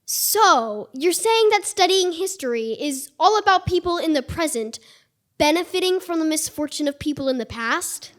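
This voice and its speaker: Whiny high-voiced boy pupil. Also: expressive, normal speed, a bit bright. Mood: skeptical. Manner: whiny, protesting. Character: bored, smart, argumentative young boy pupil. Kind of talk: live stage audio play acting.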